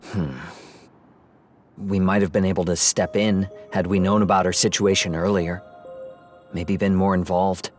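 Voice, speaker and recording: Brief: a composed young analyst, low and even, with soft game music behind. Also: male voice, unhurried, long pauses. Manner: calm, reasoning, questioning. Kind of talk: game cutscene voice acting.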